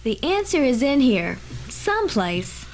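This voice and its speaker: A woman's voice: high voice, sing-song, unhurried, slight background noise, full character voice. A woman playing an inquisitive, pondering newspaper reporter in an old-fashioned radio drama, questioning and theatrical. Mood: puzzled.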